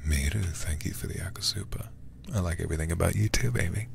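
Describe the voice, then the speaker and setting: A man streaming a game as ASMR, reading aloud and chatting softly in an intimate, soothing, breathy way, close to the microphone. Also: low voice, fast, background noise. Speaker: livestreamer playing horror games.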